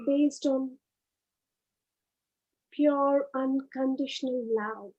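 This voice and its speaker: A woman leading a guided meditation, speaking in short, unhurried phrases with pauses between them. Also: sits high, a little mellow, lo-fi sound.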